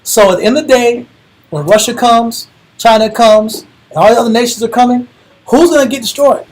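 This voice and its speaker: Man, American accent, husky voice, informal sermon, emphatic, repetitive phrasing, street-casual wording. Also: very high voice, fairly quick, poor audio. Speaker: religious teacher.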